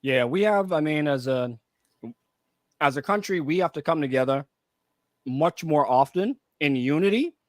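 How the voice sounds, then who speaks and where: A man's voice: fairly high pitch, expressive, moderate pace, long pauses, no room sound, studio quality. A man with a Bahamian accent chatting casually as a co-host on a radio show. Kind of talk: casual chat.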